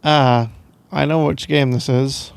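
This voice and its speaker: A man's voice: mid-range voice, fairly even intonation, slow, creaky, clear, resonant tone, no room sound. A young Scottish man doing casual boyfriend roleplay ASMR in a playful, teasing, rambling way, close to the microphone. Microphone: close microphone, dry sound.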